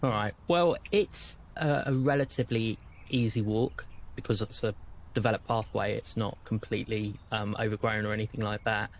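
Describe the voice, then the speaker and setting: A man with a British accent narrating a tabletop game as game master in a relaxed, conversational way. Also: fairly low pitch, moderate pace.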